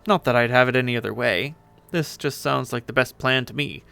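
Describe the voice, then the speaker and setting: A man's voice: medium pitch, fairly quick, creaky, bright, forceful tone, clear, resonant tone. A man voicing a brash, sarcastic, boastful female pirate ghost in a dramatic story reading. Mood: cocky. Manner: impatient, sarcastic, swearing.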